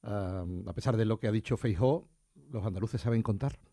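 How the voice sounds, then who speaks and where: A man interviewing a guest on the radio, asking brisk, polite questions. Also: on the low side, warm tone, slightly rough, no room sound, clean studio sound.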